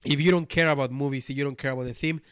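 A man's voice: mid-range voice, fairly even intonation, very fast, a bit bright, clear, resonant tone, no echo, clean studio sound. Spanish-accented man reviewing a board game. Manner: rambling, many restarts and fillers. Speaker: podcast host.

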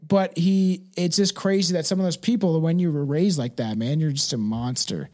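High-voiced man, blunt podcast host. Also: moderate pace, a little mellow. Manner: blunt, emphatic, swears freely, ends phrases with "right" and "man". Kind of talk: casual opinionated podcast chat.

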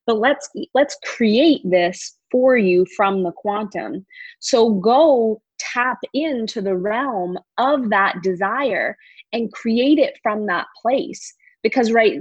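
A woman's voice: mid-range voice, husky, dry recording. Female life coach for podcast interview. Emphatic, repeats key words, close dry sound with a muffled edge. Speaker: coach and course teacher.

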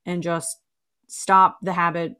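A young woman from the American South with a low voice, chatting casually and bluntly as a podcast co-host. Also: flat delivery, slow pace, pushed and bright, clear, resonant tone. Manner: blunt, swears freely, trails off with "like". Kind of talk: casual chat.

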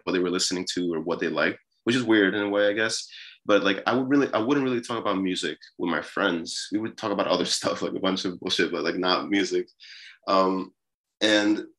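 A young man with a very low but bright, forceful voice talks about his music in a loose, rambling way. He leans on fillers and restarts as he thinks aloud. It sounds like a casual sit-down interview. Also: low-quality recording. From Peru. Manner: relaxed, rambling, many fillers. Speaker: musician guest.

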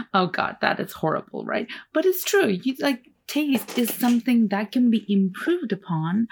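Slow-talking gravelly woman, Turkish native speaker. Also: mid-range voice, darkish tone. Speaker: artist sharing creative advice. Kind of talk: casual solo talk.

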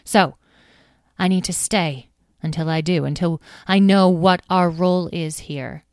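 A woman's voice: on the low side, steady tone, measured pace, dry recording, clean studio sound. A woman voicing a proud, imperious fairy leader in a storybook who snaps and lectures the others. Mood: pompous. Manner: snappish and haughty.

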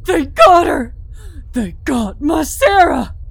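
A woman voicing an anguished, frantic father shouting in grief in an audio story. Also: extremely high pitch, very animated, slow, voice pushed up, full character voice. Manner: crying out, shouting. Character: frantic, anguished father wailing for his daughter.

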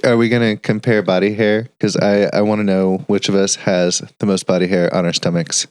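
A man's voice: low-pitched, flat delivery, a little fast, clean tone, dry recording. A man on a podcast as the guest, talking eagerly and teasing the others. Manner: enthusiastic, teasing. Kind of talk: casual chat.

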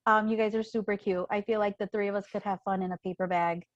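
A woman from Chicago talks as a guest on a casual podcast. She chats freely, with a lot of "like" and "you know", in a middle-pitched voice. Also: steady tone, fast, highs cut off. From Chicago, USA. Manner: chatty, lots of fillers like "like" and "you know".

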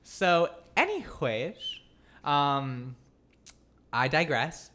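Low-voiced Boston woman, lively. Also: drawn-out words, slight background noise. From outside Boston, Massachusetts. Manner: playful, laughs often, mock-formal asides. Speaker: podcast host. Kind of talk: casual chat.

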